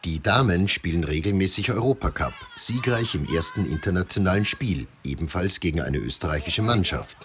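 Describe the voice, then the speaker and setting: A man narrating a sports documentary in a measured, scripted voice-over. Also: deep voice, expressive, a little hiss or hum.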